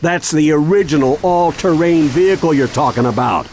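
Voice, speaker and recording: A man playing a steady, protective grandfather in a cartoon video game, speaking in an avuncular, purposeful way. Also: fairly high pitch, normal speed, slight background noise, lightly acted. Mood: steady, encouraging.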